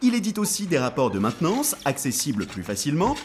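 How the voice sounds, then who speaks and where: Man voicing a high-pitched, chummy cartoon machine buddy. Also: fast talker, slight background noise. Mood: explaining, reassuring. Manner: chummy, explanatory, animated. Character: friendly, reassuring, high-voiced male machine buddy. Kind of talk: scripted educational cartoon dialogue.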